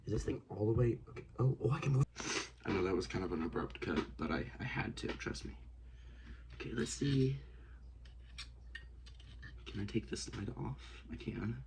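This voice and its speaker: A sniffly, congested young man rambles to himself as he works at a desk, full of hesitations and little asides, often dropping to a hush. His voice sits low and gravelly, but the pitch swings up and down a lot. The recording carries a clear hiss of background noise. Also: lively intonation, normal speed, long pauses. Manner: rambling, self-talking, hesitant with many likes. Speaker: outdoors vlogger. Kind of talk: casual hands-on vlog. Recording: noisy home recording.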